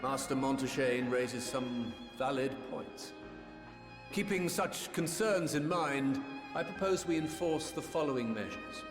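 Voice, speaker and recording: An actor playing a stern presiding council official in a video game, speaking in a formal, measured, authoritative way. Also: male voice, mid-range voice, expressive, over music, natural voice. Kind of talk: scripted video game acting. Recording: echoey hall, orchestral strings behind.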